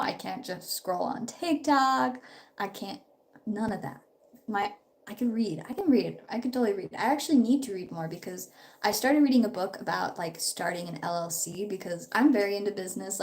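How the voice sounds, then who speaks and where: A young woman in her twenties, a bit sick, chatting upbeat and rambling during a casual live stream at home while doing her makeup. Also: medium pitch. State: slightly sick. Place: home, her parents' house.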